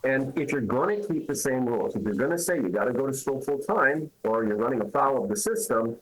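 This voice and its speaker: Emphatic husky-voiced man arguing a point. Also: mid-range voice, expressive, a little fast, a bit bright, rough recording. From Canada. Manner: emphatic, argumentative, with rhetorical questions. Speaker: immigration lawyer. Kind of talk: news interview answers.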